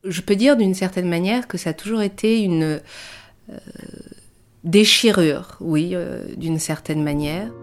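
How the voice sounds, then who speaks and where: A woman in her early thirties giving a reflective personal account, pausing often as she searches for her words. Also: lowish voice, a little hiss or hum. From France. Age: early 30s. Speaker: dancer and choreographic artist. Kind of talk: reflective personal interview narration.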